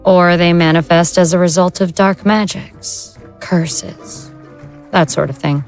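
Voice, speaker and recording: A woman's voice: lowish voice, steady tone, slow pace, faint music behind. A woman playing a matter-of-fact occult investigator in an audio drama.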